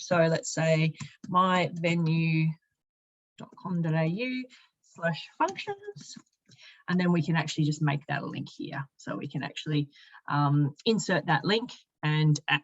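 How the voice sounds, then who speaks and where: A woman with a fairly low voice presents a software tutorial in a conversational way. She thinks aloud and explains each step as she goes. The recording is a little dull and lo-fi. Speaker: software demo presenter, sales and marketing director. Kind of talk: conversational screen-share product tutorial.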